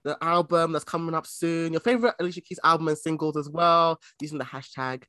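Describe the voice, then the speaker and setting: A man's voice: a little high, fairly quick, pushed and bright, no echo, clean studio sound. Enthusiastic man hosting. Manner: enthusiastic, emphatic, slangy. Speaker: podcast host. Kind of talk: casual chat, presenting segments.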